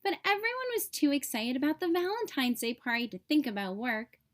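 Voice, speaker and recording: Female storybook narrator, high register, expressive read-aloud delivery for kids. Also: a little fast.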